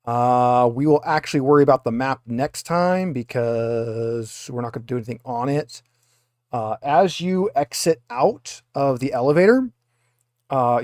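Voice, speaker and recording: A man's voice: medium pitch, darkish tone, no echo, clean studio sound. A man acting as game master, casually narrating a tabletop roleplaying session and giving rulings.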